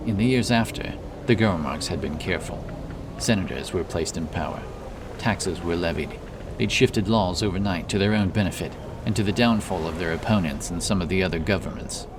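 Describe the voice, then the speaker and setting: Brief: male narrator, low register, fantasy audiobook storytelling, noticeable ambience behind the voice. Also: brisk, noisy background. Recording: clear background ambience under voice.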